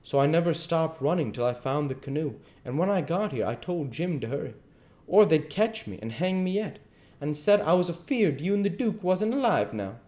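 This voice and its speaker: A man's voice: a little high, big pitch swings, moderate pace, warm tone, pitched above the performer's own voice. A man voicing an earnest, naive runaway country boy with folksy rural speech in a storybook reading. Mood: anxious explaining. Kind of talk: dramatised audiobook reading.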